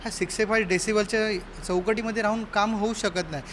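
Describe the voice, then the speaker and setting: High-pitched man over faint traffic noise. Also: steady tone, quick pace, a bit bright. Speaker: sound operator being interviewed. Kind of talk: explanatory interview answer.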